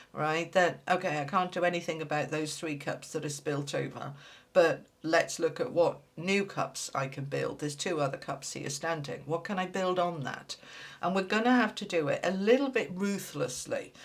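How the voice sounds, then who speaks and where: A woman gives a tarot reading to her audience in a casual, chatty way. Her voice sounds congested and a little nasal, as if a cold is coming on. She talks plainly and bluntly while still cheering the listener on. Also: sits low. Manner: direct, blunt, encouraging. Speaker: tarot reader.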